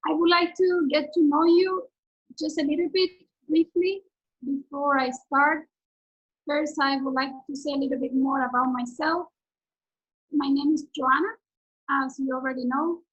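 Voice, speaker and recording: A high-voiced woman in her forties with an Argentine Spanish accent, teaching over a video call. She speaks English as a second language, earnestly, with many fillers and restarts. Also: steady tone, measured pace, long pauses, dull audio.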